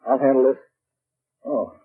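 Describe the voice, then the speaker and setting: An actor playing a ruthless, scheming crime boss in a commanding, sneering manner, in 1940s radio drama style. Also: male voice, medium pitch, fairly even intonation, a little slow, husky. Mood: commanding, wary. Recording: muffled, narrow-band old radio recording.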